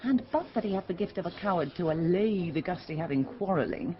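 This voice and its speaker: An actress playing a sharp-tongued, teasing chambermaid in a Shakespearean radio drama, dry and knowing. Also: female voice, fairly low pitch, animated, brisk, highs cut off, natural voice. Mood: scornful. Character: saucy, sharp-tongued, quick-witted chambermaid.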